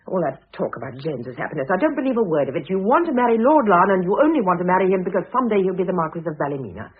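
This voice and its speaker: A dignified, scornful older woman in a vintage radio play. Her voice is husky and expressive, with cutting disdain. The sound is thin and band-limited, like an old broadcast. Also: fairly low pitch, rapid-fire. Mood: cold contempt. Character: scornful, sharp-tongued older woman, dignified former wife. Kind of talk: classic stage-style radio drama acting. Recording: muffled narrow-band old radio recording.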